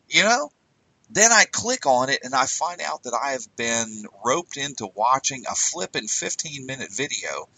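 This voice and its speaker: Emphatic bright-voiced man in his forties. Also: mid-range voice, no echo, far from the mic, low-quality recording. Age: 40s. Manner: conversational, emphatic, a bit exasperated. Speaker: writing coach. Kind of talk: casual lecture on headline writing.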